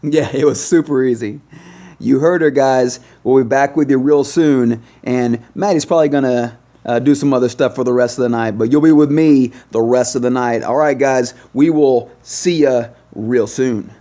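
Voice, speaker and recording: A man's voice: medium pitch, moderate pace, dark tone. An American man giving casual, chatty game walkthrough commentary to his viewers, often repeating himself as he explains. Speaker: gaming video host. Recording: dull lo-fi sound with music behind.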